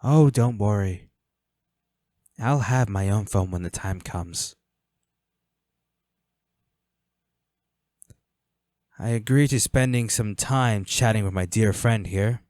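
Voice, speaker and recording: A man's voice: lowish voice, a little slow, a little mellow, no room sound, clean studio sound, close to an everyday voice. A man playing a flirty, smug villain henchman in a roleplay audio drama, taunting a captive in a teasing, coolly controlling manner. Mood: amused, commanding.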